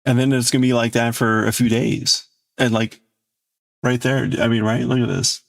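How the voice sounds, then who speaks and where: Creaky-voiced man chatting on a livestream. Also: mid-range voice, little pitch movement, moderate pace, no room sound. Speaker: livestream host. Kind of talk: casual livestream chat, crafting and reading out viewer chat.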